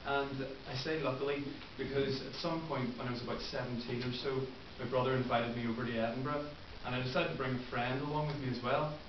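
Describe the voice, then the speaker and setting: A man's voice: medium pitch, steady tone, fast talker, few pauses. A man in his twenties with a Northern Irish accent, giving an earnest prepared speech with frequent ums and uhs. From Northern Ireland. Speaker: club member giving a first speech. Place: meeting room. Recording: roomy, distant, muffled with hiss.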